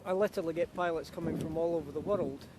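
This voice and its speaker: A middle-aged man from Kenya, a paragliding instructor, chatting with self-assured quick asides outdoors by a beach. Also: a little high, fairly even intonation, over loud music. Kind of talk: casual chat to camera outdoors. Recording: outdoor wind and traffic noise.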